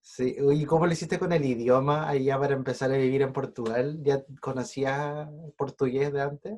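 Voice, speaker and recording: A podcast host with a Chilean Spanish accent who asks relaxed questions and drops short agreeing replies. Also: mid-range voice, normal speed. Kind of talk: casual interview.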